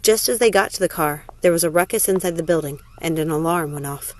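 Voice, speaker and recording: A woman's voice: low voice, fast. A woman narrating a novel aloud in scripted audiobook style. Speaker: narrator, story reader.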